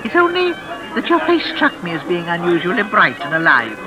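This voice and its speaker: An actress playing a lonely, mysterious old gentlewoman in a 1940s radio suspense play, formal, precise and insistent. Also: fairly low pitch, huge pitch swings, fairly quick, low-quality recording. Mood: courteous, probing. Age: older. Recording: vintage radio drama with music behind.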